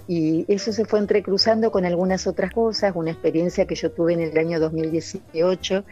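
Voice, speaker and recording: A woman's voice: low-pitched, a little mellow. A middle-aged woman, a novelist, talking earnestly in an interview with many hesitant fillers, over a remote call. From Santa Fe, Argentina. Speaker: novelist guest. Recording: dull, lo-fi remote audio.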